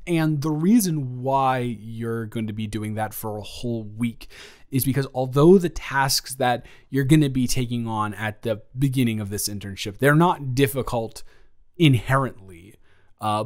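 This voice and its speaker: A man playing a friendly, flustered office boss in an improvised roleplay audio, talking to a new intern in a halting, rambling way with stammered restarts. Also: mid-range voice, natural voice. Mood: businesslike, explanatory. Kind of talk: improvised romantic roleplay audio, addressed to the listener.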